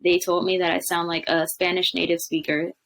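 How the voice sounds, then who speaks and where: A woman's voice: fairly low pitch, level tone, brisk, fairly bright tone, slight background noise. A young woman, a language coach, chatting casually on a video call from a home room, with lots of fillers. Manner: chatty, many fillers, laughs often. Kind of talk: casual interview chat.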